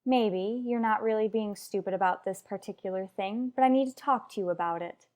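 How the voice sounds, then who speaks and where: A woman's voice: mid-range voice, fairly quick, darkish tone, close to an everyday voice. A woman playing a headstrong married mother in a radio soap, lecturing her rival bluntly and emphatically. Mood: blunt, insistent. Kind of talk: radio soap opera acting.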